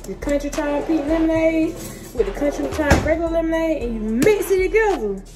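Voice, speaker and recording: A playful young woman talks slangy and loose to a camera on her kitchen counter. Her voice sits a bit high. The room rings with echo, and loud music plays underneath. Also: a little fast. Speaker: vlog co-host. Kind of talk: casual chat to camera. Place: home kitchen, indoors.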